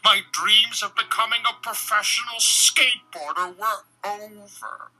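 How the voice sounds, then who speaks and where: Man putting on a prissy, breathy, self-pitying young man. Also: high voice, pushed and bright, faint music behind, heavily acted, poor audio. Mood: wounded, bitter. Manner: pompous, affected, drawn-out delivery. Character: prissy, aggrieved, self-pitying entitled young man reading his journal. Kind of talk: mock-dramatic comedic reading of diary entries.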